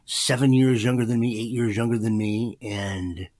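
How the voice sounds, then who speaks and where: A man's voice: medium pitch, normal speed, a little mellow. A man in his fifties, a former radio DJ, chatting in an interview in a rambling way with many fillers. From Pacific Northwest, USA. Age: 50s. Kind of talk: casual interview chat.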